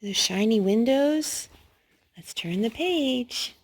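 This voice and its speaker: Woman, animated picture-book reader, swooping expressive pitch, coaxing and emphatic, child-directed, faint background noise. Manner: coaxing questions and emphatic, drawn-out key words. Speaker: storyteller reading picture books aloud to small children. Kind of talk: animated read-aloud to young children.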